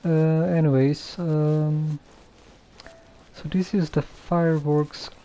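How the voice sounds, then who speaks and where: Slow, dark-toned, hesitant game streamer. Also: mid-range voice, steady tone, poor audio. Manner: hesitant, halting, subdued. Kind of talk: casual live gameplay commentary.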